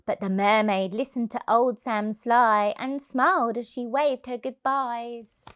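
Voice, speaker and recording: A woman's voice: on the high side, steady tone, a little slow, a bit bright. A woman reading a rhyming picture book aloud expressively for young children.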